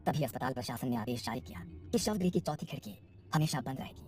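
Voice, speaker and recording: A woman with a very deep, dark voice narrates a scripted horror story. She moves along briskly, with a faint noise behind her.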